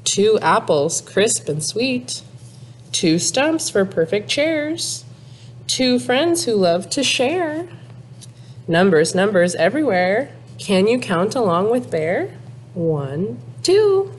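A woman in her thirties, a teacher, reading a picture book aloud to young children in a sing-song, deliberate way with exaggerated emphasis. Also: medium pitch, very animated, a little hiss or hum. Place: small classroom, indoors.

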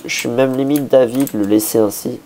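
A man with a hoarse voice, a bit sick, casually talking through a building project, thinking aloud with many hesitations and restarts. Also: mid-range voice, fairly even intonation, fairly quick, dark tone. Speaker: Minecraft builder.

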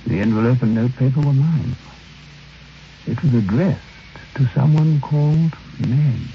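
An actor playing a sombre, troubled man who narrates an old radio drama in grave, measured, literary phrases. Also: mid-range voice, close to an everyday voice. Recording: dull, lightly hissy old radio recording.

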